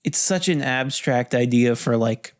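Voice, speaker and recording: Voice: medium pitch, unhurried. A podcast co-host bantering casually, rambling in an offhand, hedging way with casual swearing.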